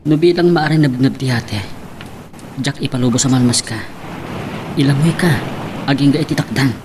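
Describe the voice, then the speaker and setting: An actor with an Ilocano Filipino accent playing a gentle, reassuring suitor in a radio love drama. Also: male voice, medium pitch, moderate pace, noisy background, natural voice. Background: music.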